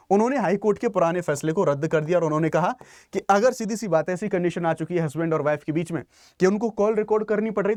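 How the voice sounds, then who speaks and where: A man's voice: high-pitched, darkish tone, no echo, clean studio sound. A man teaching exam students in an explanatory lecture, didactic, rephrasing his points and mixing in English terms. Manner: didactic, asks and answers own questions, repeats and rephrases points; mixes English terms into speech.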